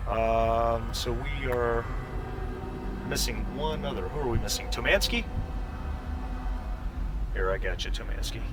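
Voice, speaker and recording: A man's voice: medium pitch, unhurried, pauses often, noisy background. A man running a tabletop role-playing game online as game master, casual and hesitant, often repeating phrases as he thinks. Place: home, online group voice call.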